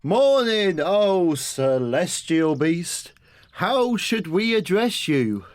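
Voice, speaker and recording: High creaky villager envoy, swoopy n exaggerated, talks real slow and halting, horror audio play. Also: male voice, sing-song, dry recording, studio quality, exaggerated voice. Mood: bold greeting. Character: townsman envoy parleying nervously with a giant beast.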